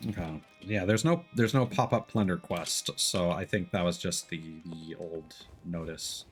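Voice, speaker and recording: Low-voiced man chatting on a gaming stream. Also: a little slow, husky, faint music behind. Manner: rambling, thinking aloud while playing. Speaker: livestream host playing a game. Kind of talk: casual gaming stream chat.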